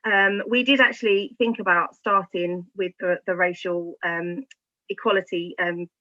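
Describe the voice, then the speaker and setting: A woman's voice: on the low side, steady tone, a little slow, bright, forceful tone. A woman explaining things informally as a school staff member, in hesitant, loosely structured phrasing. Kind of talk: informal explanation in an online discussion. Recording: slightly muffled, band-limited audio.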